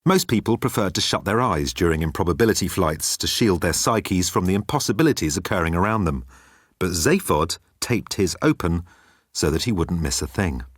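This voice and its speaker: A man's voice: low voice, animated, normal speed, slightly rough, no room sound, studio quality. A man reading comic scripted narration as an audiobook narrator.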